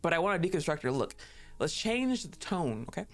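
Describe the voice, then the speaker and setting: A woman's voice: lowish voice, very animated, a little fast. A woman streaming a game live, giggling often, swearing freely and gushing thanks to her chat.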